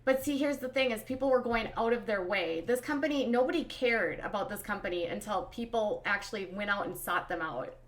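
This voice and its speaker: A woman's voice: mid-range voice, fairly quick, hardly any pauses, a little hiss or hum. A woman from Minnesota with a Minnesotan accent, hosting a casual commentary stream, talking in an exasperated, emphatic way and calling her viewers "you guys.". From Minnesota, USA. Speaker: commentary channel host.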